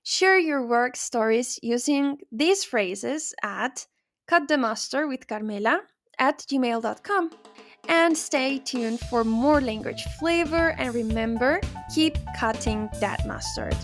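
A woman hosts an English lesson podcast, taking her time over each phrase. Her voice sits fairly high, and her delivery is careful and clear. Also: animated, slow pace, a bit bright, faint music behind. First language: Spanish. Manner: careful, clear teaching delivery with example sentences. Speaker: podcast host and English teacher.

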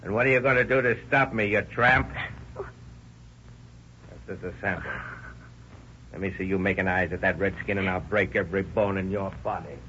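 Guy doing a jealous bully husband, lowish gruff voice, muffled old radio. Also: normal speed, pauses often, lightly acted. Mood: jealous rage. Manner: gruff, sneering, explodes in anger. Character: jealous bullying middle-aged postmaster husband. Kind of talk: 1930s radio acting. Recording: muffled old radio broadcast with faint hiss.

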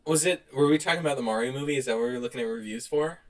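A man co-hosting a podcast in casual chat, animated and quick to laugh. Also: mid-range voice. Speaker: podcast co-host. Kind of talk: casual chat, reading out online reviews.

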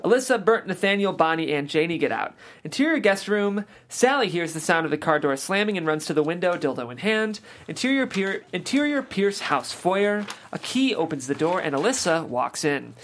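A woman reading stage directions in a measured, matter-of-fact way at a table read. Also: lowish voice, animated. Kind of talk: scripted narration of stage directions.

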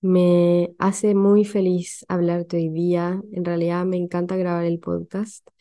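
A young Chilean woman hosts a reflective podcast in a slow, soothing way. Her voice is mellow and sits fairly low, with a flat, even pitch and an unhurried pace. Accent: Chilean Spanish. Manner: slow, gentle and soothing, guides breathing. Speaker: podcast host. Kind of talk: calm reflective podcast monologue.

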